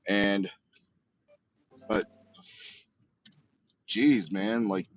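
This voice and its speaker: A man chatting casually as livestream co-host, laid-back with drawn-out fillers. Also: lowish voice, fairly even intonation. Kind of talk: casual chat. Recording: dull lo-fi mic.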